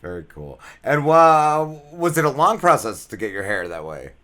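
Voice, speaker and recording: A man's voice: mid-range voice, sing-song, moderate pace, bright, forceful tone. A man co-hosting a podcast interview, laughing readily and often stumbling and restarting his questions. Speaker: podcast co-host. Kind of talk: casual interview.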